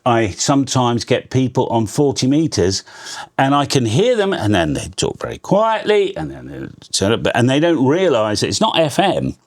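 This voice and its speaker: A man giving a casual hands-on radio tutorial, a loud, energetic talker who explains in a chatty way with frequent restarts. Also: mid-range voice, normal speed, creaky. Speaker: radio channel host.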